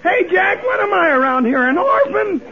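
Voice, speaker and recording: 1930s radio comic: man, very high cracking rasp, whiny, lively pitch, hissy old broadcast. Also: moderate pace, lo-fi sound, crowd noise. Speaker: comic cast member. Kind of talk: radio comedy banter.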